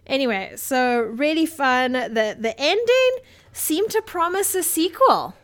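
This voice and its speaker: A woman with a fairly high voice co-hosts a relaxed film chat. She is playful and informal, and gives her opinions freely as she talks. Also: measured pace. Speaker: podcast co-host. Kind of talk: casual film review chat.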